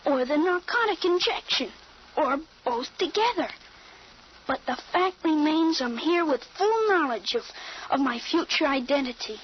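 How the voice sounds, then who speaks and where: A woman's voice: high-pitched, lively intonation, normal speed. A woman playing a precise thirteen-year-old American boy with a grown-up mind in an old radio drama, speaking in an articulate, matter-of-fact way. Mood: confident, explaining. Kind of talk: 1940s-50s radio drama acting. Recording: muffled, noisy old radio recording.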